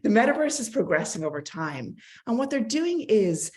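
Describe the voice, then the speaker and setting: A woman's voice: a little high, big pitch swings, normal speed, a little mellow. Irish woman giving an upbeat tech talk. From Ireland. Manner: enthusiastic, conversational, self-deprecating asides. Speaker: tech company speaker. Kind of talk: conference talk with slides.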